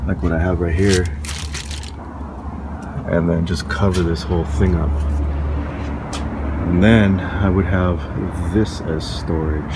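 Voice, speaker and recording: A man with a stuffy nose and sore throat, talking in a rambling, frank way in a casual vlog from a parked van. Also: sits low. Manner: rambling, frank, stop-start. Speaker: van life vlogger. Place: parked van outdoors, windy lot. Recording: outdoor wind and traffic noise.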